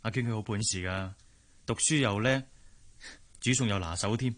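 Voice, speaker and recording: An actor playing a protective, well-off young employer in a radio drama, earnest and considerate, with a Hong Kong accent. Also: male voice, on the low side, normal speed, fairly bright tone, natural voice. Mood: reproving. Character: kindly young wealthy gentleman, protective employer. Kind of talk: Cantonese radio drama acting.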